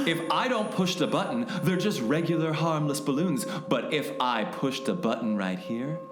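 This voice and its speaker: A man's voice: medium pitch, natural voice. A man playing a swooping, theatrical gloating villain. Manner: taunting, theatrical, mock-sweet menace. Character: gloating male villain, petty actor obsessed with social media. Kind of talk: hammy comic radio-play acting. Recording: roomy echo with music underneath. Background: music.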